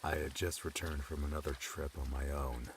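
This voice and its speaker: A man playing a grieving young male adventurer in an audiobook, confiding in a halting, reflective way. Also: very deep voice, little pitch movement, slight background noise, voice pushed down. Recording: music under the voice.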